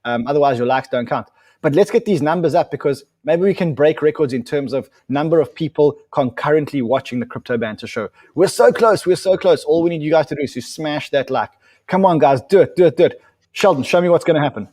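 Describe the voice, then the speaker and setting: A man's voice: fairly high pitch, brisk. A man hosting a live crypto stream, urging viewers on and calling in guests with eager energy. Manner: pushy, excitable, urging viewers. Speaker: show host.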